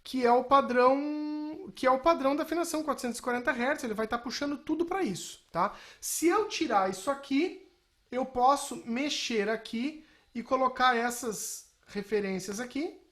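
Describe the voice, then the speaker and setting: Higher-voiced woman teaching. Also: normal speed, fairly bright tone. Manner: explanatory, often checking in with short tag questions. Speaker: music technology teacher. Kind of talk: informal spoken software lesson.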